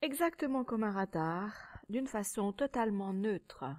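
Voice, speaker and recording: A woman teaching yoga nidra, guiding a meditation in a calm, measured, soothing way, with a soft, sometimes breathy voice and pauses between short phrases. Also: medium pitch. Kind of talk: guided meditation.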